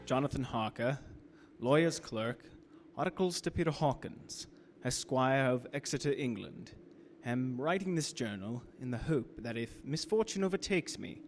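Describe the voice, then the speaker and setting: A man's voice: medium pitch, a little hiss or hum, lightly acted. A man playing an earnest young lawyer's clerk, narrating his journal formally and intensely in a gothic radio drama. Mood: grave. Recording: loud storm and carriage effects behind.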